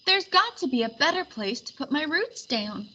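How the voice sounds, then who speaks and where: A woman's voice: high-pitched, very animated, normal speed, bright, forceful tone, lo-fi sound, pitched above the performer's own voice, full character voice. A woman voicing a fussy, determined little female daisy in a storybook, complaining in an emphatic, particular way. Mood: eager, determined.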